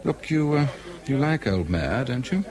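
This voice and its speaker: An actor playing a shrewd, needling political insider with a British accent, probing slyly in a clubby manner. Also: male voice, medium pitch, very animated, a little slow, a crowd in the background. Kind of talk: radio drama dialogue.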